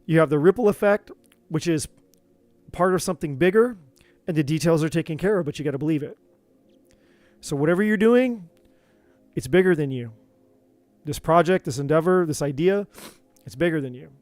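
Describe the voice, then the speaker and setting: A man doing a live tarot reading, talking in an earnest, encouraging way with many repeats, his voice going a bit hoarse. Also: on the high side, moderate pace, long pauses, darkish tone, dry recording. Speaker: tarot reader.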